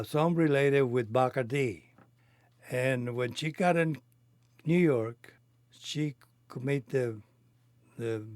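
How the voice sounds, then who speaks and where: A man in his eighties from Cuba, a native Spanish speaker with a Cuban accent, recounting his life in halting, non-native phrasing. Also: mid-range voice, dry recording. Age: 80s. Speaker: interviewee telling his life story. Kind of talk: reminiscing conversation.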